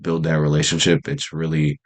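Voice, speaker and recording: A man's voice: extremely low pitch, monotone, normal speed. A young man with a congested, stuffy nose, rambling in laid-back slang with lots of fillers as he talks unscripted to a camera. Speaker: vlogger. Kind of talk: unscripted casual monologue to camera. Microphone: camera mic.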